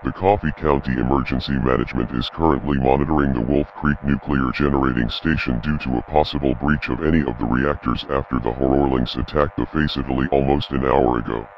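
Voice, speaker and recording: A man's voice as an impersonal automated emergency announcer, reading a formal bulletin in a flat, official manner over muffled radio. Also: very deep voice, a little fast, few pauses. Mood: impersonal, grave. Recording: muffled noisy radio broadcast, hum.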